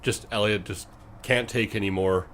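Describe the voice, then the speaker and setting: A man with a fairly low voice runs a tabletop game as host and game master. He narrates dramatically in suspenseful phrases, with clear ambient noise behind him. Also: fairly even intonation, moderate pace, a bit bright.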